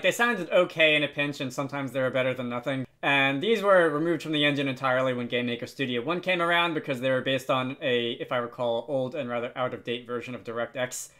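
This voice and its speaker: Bright-voiced man narrating a software tutorial. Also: medium pitch, brisk. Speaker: tutorial video host.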